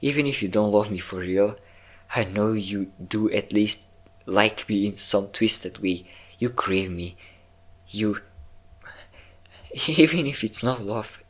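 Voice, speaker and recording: A man playing a possessive, obsessive vampire lover in an intimate, dramatic roleplay audio drama. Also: on the low side. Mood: probing, persuasive.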